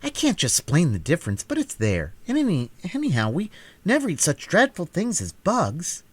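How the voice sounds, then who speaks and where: Storybook young country girl, played by a man: proper, insistent, very lively pitch, dry recording. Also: normal speed, husky, dry recording, exaggerated voice. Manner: earnest, prim, insistent. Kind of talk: storybook character voice in audiobook reading.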